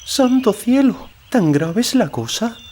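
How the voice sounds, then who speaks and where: A man's voice: very high voice, very animated, takes it slowly, warm tone, a little hiss or hum, voice pushed up, full character voice. A man voicing a humble, devoted old female mule in an audiobook, simple and plaintive. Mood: astonished.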